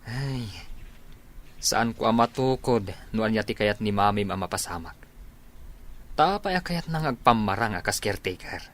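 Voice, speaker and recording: A man's voice: on the low side, lively intonation, moderate pace, close to an everyday voice. A man playing an inquisitive, chummy young friend in an Ilocano comedy radio drama. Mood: curious.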